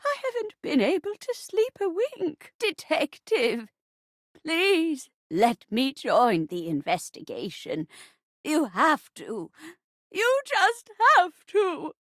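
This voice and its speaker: Game role: a plucky old granny rocking chair; theatrical, folksy, high and wildly swooping delivery. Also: female voice, wildly swooping pitch, slow pace, a bit bright, dry recording, clean studio sound, heavily acted. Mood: worried, pleading. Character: melodramatic, plucky old rocking-chair wife hunting her missing husband. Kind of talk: hammy murder-mystery play-acting in a video game.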